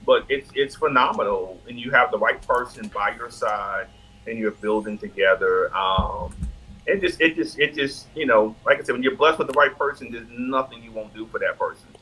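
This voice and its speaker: Man, co-host, bright forceful tone, affirming, restarts his phrases. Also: medium pitch, normal speed, low-quality recording. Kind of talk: relationship talk show.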